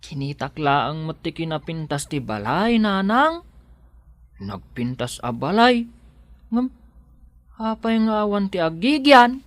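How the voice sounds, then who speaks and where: A playful Ilocano village daughter in a radio comedy. She teases and questions at a slow pace, with big swings in a slightly low voice. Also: very animated, dry recording. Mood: defensive. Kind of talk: comedic radio drama acting.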